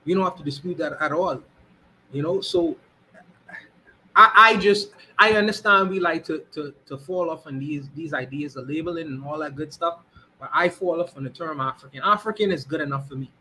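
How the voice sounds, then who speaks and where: Bright-voiced man, halting speech. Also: a little high, slight background noise, rough recording. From Bahamas. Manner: many restarts and repeated "you know what I mean". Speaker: guest. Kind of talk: casual opinion talk.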